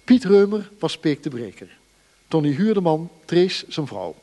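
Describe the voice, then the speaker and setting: A man's voice: a little high, sing-song, a little slow, dark tone, long reverb tail. A man reading closing credits as a scripted radio drama announcement.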